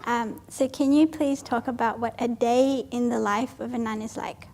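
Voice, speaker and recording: A Buddhist nun, reading out audience questions at a retreat Q&A, light and self-deprecating with frequent laughter. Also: female voice, fairly high pitch, steady tone, moderate pace, a little mellow, a little hiss or hum. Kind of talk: Q&A panel at a retreat. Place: retreat hall with a live audience.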